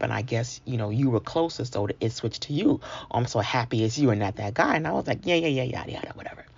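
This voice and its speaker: An American woman from New York City telling a personal story in a conversational, comic way, acting out dialogue, in a husky, gravelly voice. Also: rumbling low, darkish tone. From New York City, United States. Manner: comic, acts out dialogue with exclamations. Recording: dull lo-fi sound.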